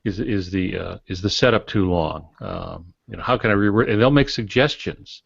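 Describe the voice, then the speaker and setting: A man with a low voice co-hosts a relaxed discussion podcast. He explains his points in a measured, conversational way. The sound is very dry and somewhat dull. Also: vocal fry, slightly rough, dry recording. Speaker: podcast co-host.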